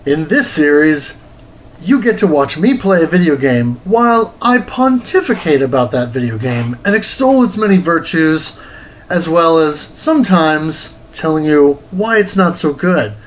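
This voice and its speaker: A man of forty reading a scripted retro game review as host, in a deliberate, articulate, wordy way. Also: on the high side, expressive, slight background noise, rough recording. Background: music.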